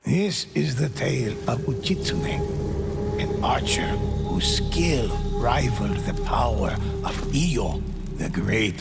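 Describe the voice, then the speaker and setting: A man acting as a solemn storyteller, narrating old legends in a measured, hushed, gravelly voice for a video game. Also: mid-range voice, wildly swooping pitch, exaggerated voice. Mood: hushed, ominous. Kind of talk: scripted dramatic storyteller narration. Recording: dramatic game music behind the voice.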